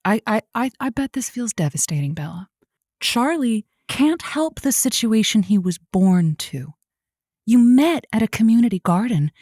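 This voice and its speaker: A woman's voice: on the high side, animated, moderate pace, a little mellow, dry recording, clean studio sound. A woman playing a quirky, soothing radio advice host in a comedic audio drama, earnest and playful in her delivery. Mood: earnest, consoling.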